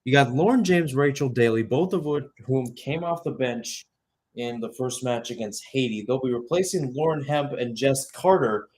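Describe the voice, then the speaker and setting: A man's voice: medium pitch, lively intonation, moderate pace, a little mellow, husky. A young man giving live radio-style soccer play-by-play, talking conversationally and filling in background detail between calls. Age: young adult.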